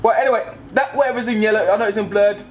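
Young male vlogger, slightly high voice, halting and repetitive casual explainer, background noise inside a bus. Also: steady tone. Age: 20s. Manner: halting, repetitive, with frequent check-in tags like "okay?" and "right?". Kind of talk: casual explanatory vlog addressed to passengers. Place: inside a parked double-decker bus. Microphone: handheld camera at arm's length.

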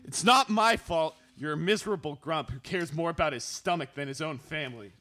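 A man's voice: on the high side, brisk, heavy background noise, lightly acted. A man playing a stressed, pleading son hosting a family dinner in an amateur radio play. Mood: upset. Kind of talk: amateur live radio drama acting. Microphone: microphone on stand.

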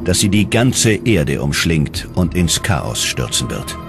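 A man with a low voice narrates a scripted documentary. His delivery is dramatic and measured, with wide swings in intonation. Music plays behind him. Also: sing-song, gravelly.

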